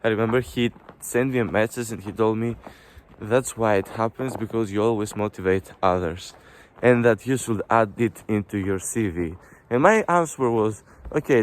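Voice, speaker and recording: A Greek man in his twenties with a Greek accent, chatting casually in a vlog while walking along a snowy street. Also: fairly low pitch. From Greece. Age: 20s. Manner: chatty, rambling with fillers. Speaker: vlogger.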